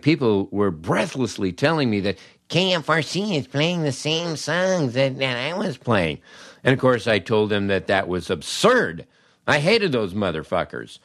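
A man's voice: mid-range voice, dry recording, studio quality. An older man hosting a podcast in a chatty, wry, emphatic storytelling manner. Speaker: podcast host and former radio disc jockey. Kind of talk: conversational storytelling and host-read ads.

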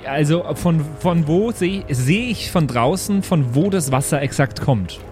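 A man's voice: fairly high pitch, expressive, measured pace, music in the background. A man playing a tabletop role-playing game, speaking casually in character with earnest, naive-sounding reasoning.

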